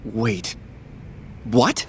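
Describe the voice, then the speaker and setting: A man's voice: on the low side, lively intonation, extremely slow, slight background noise. A man playing an earnest, inquisitive associate in a video game cutscene, questioning and exclaiming. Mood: surprised. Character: earnest, inquisitive male associate, easily surprised.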